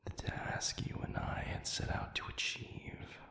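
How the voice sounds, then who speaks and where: A man playing an ancient, grave demon in a dramatic audio roleplay, speaking in a breathy half-whisper with halting, formal, solemn diction. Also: pushed and bright, echoey room. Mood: brooding, puzzled.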